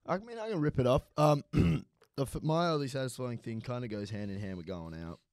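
A young man, co-hosting a podcast and telling crude, animated stories full of swearing. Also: mid-range voice, a little fast, no echo, clean studio sound. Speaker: podcast co-host. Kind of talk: casual chat.

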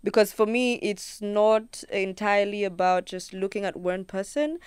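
A woman's voice: mid-range voice, normal speed, clean tone, no echo. A young Kenyan woman, a singer-songwriter guest, chatting casually in an interview and acting out her own thoughts as she tells stories. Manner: animated storyteller who acts out her own thoughts in quotes.

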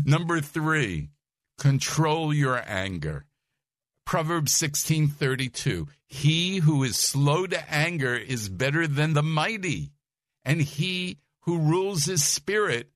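Male Bible teacher, soft voice, unhurried delivery, dry recording. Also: on the high side, dry recording. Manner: numbered points, chuckles, asks listeners questions.

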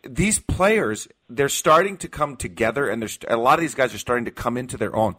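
A man's voice: mid-range voice, animated, a little fast, bright, forceful tone. An American man hosting sports talk radio, giving his opinions emphatically and repeating key phrases to drive them home.

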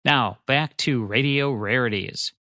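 A man's voice: medium pitch, measured pace. A man hosting a radio show, reading a scripted promotional announcement. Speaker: radio show and podcast host. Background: faint music.

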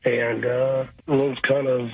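Husky man on a phone line. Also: mid-range voice, little pitch movement, dry recording. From Cincinnati, USA. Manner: casual, halting, lots of fillers. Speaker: guest. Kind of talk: radio interview.

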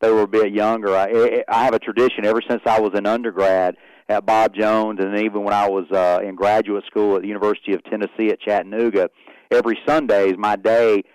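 A man in his forties with a Southern accent speaks as a radio guest, with a low and smooth voice. He talks in a folksy way, often stumbling over and repeating words. The sound is close and dry. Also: flat delivery, fast, a little mellow, no echo, low-quality recording. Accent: Southern US (Oklahoma). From Oklahoma, US. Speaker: guest, athletics director. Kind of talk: radio interview.

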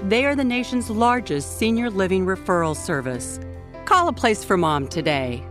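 A woman's voice: mid-range voice, expressive, moderate pace. A woman reading a commercial in a reassuring, personal tone. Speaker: ad spokeswoman. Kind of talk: scripted commercial read. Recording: ad with music bed.

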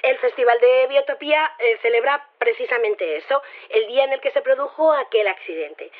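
An actress plays an earnest woman being interviewed in an audio drama. She is flustered and argumentative, stumbling over her words in a slightly high voice. The sound is tinny and narrow, like a phone line. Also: natural voice. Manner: hesitant, stammering, argumentative. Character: Sofía, earnest flustered believer in a mountain-god community theory.

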